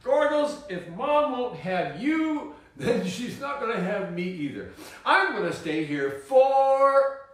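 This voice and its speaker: A man voicing a little girl who cries out loudly and wails. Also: extremely high pitch, very animated, slow, voice pushed up, exaggerated voice. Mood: defiant. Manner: loud, wailing. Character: frightened little girl, crying out. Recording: echoey distant room recording.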